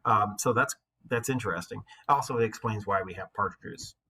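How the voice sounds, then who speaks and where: A man's voice: on the low side, normal speed, bright, forceful tone, breathy edge. A man working as a teacher, reading a story aloud like a storybook and explaining it in casual, conversational asides.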